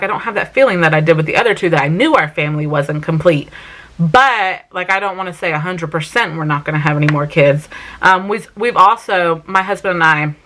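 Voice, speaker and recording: A woman's voice: lowish voice, fairly bright tone, rough recording. A woman in her thirties with a Southern US accent from South Carolina, chatting casually to camera in a home Q&A vlog, full of "um" and "like" fillers. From South Carolina, raised in Vermont. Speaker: mom vlogger.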